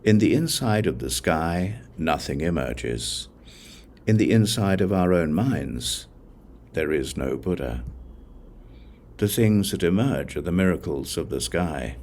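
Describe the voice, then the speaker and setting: A man reading a Buddhist scripture as an audiobook, in measured, deliberate phrases with pauses. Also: sits low, expressive, darkish tone. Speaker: audiobook reader.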